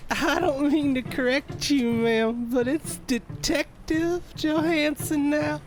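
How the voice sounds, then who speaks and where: A man's voice: extremely high pitch, steady tone, soft background music. An actor playing a newly promoted male detective, earnest and a little proud. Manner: earnest, slow, a bit proud. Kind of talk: audio drama acting.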